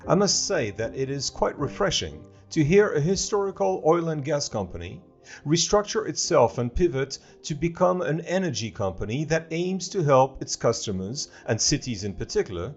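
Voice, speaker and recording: A man hosting a podcast, reading a scripted introduction and asking questions in a formal, deliberate way. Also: fairly high pitch, big pitch swings, breathy edge, lo-fi sound, soft background music. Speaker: podcast host.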